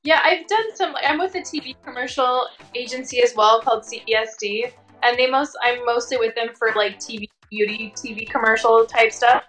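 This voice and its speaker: A woman's voice: a little high, moderate pace, few pauses, fairly bright tone, soft background music, low-quality recording. A woman chatting casually as an interview guest, informal and sprinkled with "like" and "you know". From Tongan American. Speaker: interview guest, working fashion and commercial model.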